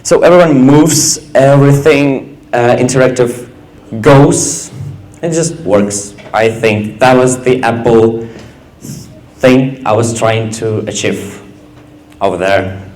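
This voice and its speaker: A young man giving a casual app demo on stage, halting with many fillers. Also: mid-range voice, a little mellow, echoey room, rough recording. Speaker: student presenter. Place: event stage.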